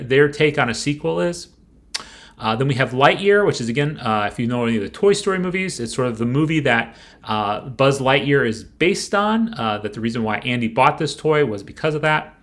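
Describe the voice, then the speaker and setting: Male commentator, medium pitch, informal explainer delivery, halting and searching for words. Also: a bit bright. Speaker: screenwriting coach hosting a show.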